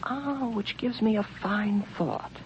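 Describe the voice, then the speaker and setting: An actor playing a whimsically unhinged, isolated space station officer, rambling with pompous sarcasm in a 1950s radio drama monologue. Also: male voice, high-pitched, takes it slowly, highs cut off. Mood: sardonic, rambling. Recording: noisy old radio recording.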